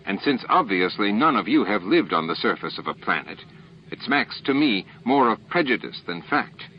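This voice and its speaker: A man's voice: fairly low pitch, big pitch swings, normal speed, muffled recording, slight background noise. Man playing a stern, indignant starship captain. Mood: firm, controlled. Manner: forceful, controlled, grave. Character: stern, indignant male space station captain holding his temper.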